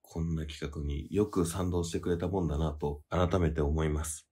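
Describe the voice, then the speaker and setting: A man with a very deep voice hosts a podcast. He speaks in a casual, conversational way as he explains things and makes announcements to listeners. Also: fairly even intonation, normal speed, mellow, slightly rough. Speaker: podcast host.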